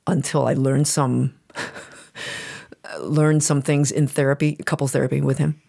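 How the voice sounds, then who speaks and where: A middle-aged woman whose first language is Chinese, thinking aloud in a casual conversation with halting restarts. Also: very deep voice, level tone, vocal fry, warm tone. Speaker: client receiving a reading.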